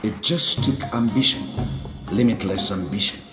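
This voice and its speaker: An actor playing a grave, wise Japanese elder of the samurai age, narrating a game cutscene in a solemn, measured, sententious way. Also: male voice, fairly low pitch, close to an everyday voice. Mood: stern judgement. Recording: roomy echo over music.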